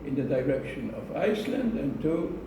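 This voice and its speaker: A man giving a prepared speech to an audience in a slow, deliberate manner, repeating phrases for emphasis. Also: fairly high pitch, very animated, creaky. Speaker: club speaker giving a speech. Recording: echoey room, distant mic, noisy.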